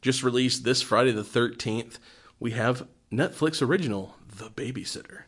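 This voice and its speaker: A male podcast co-host talks through horror films in a loose, conversational way. His voice sits at a middling pitch. He keeps a joking manner and tends to laugh while he speaks. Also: moderate pace, a bit bright, breathy edge. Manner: jokey, laughs often while talking. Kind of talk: casual chat, film commentary.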